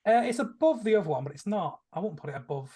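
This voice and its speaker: A man's voice: high voice, big pitch swings, fast talker, dark tone, husky. A man talking casually as a podcast panelist, explaining his opinions in a tentative, conversational way. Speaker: podcast panelist reviewing films.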